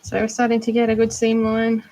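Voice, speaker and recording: A woman's voice: on the high side, monotone, brisk, dark tone, low-quality recording. A woman with an Australian accent chatting casually during a livestream, rambling and thinking aloud while she crafts. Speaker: art doll maker.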